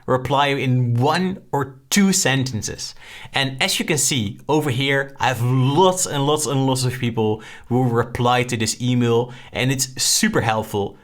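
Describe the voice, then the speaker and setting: A man's voice: mid-range voice, fairly bright tone. A young man with a Dutch accent, chatting casually and upbeat through a screen-share tutorial, often tagging "right?" onto his points. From Netherlands. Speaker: online course creator.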